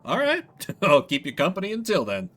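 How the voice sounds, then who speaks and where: A man's voice: high voice, wildly swooping pitch, moderate pace, fairly bright tone. A man streaming a game with casual commentary, a little congested from a cold. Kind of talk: casual game playthrough commentary, voicing dialogue.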